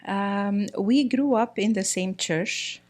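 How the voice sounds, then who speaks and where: A middle-aged woman from Egypt, a guest speaking in a casual interview, often pausing with fillers and restarting her sentences. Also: medium pitch, darkish tone. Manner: hesitant, many fillers and restarts. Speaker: guest, priest's wife.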